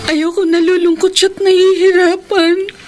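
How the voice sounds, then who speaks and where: A woman's voice: extremely high pitch, little pitch movement, unhurried. A woman acting a loving, self-sacrificing, heartbroken girlfriend in a sentimental radio drama, earnest, tender and plaintive. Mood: pleading, selfless. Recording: radio drama with music underneath.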